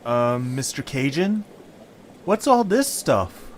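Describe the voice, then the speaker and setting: A man's voice: medium pitch, big pitch swings, takes it slowly, slight background noise. A man voicing an earnest, anxious young male creature in an audio story, polite and hesitant in manner.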